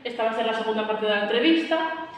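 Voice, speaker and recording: A woman's voice: fairly high pitch, fast talker. A young woman, a student, reading a prepared introduction to an interview. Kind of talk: scripted introduction. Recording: echoey room, far from mic, faint noise.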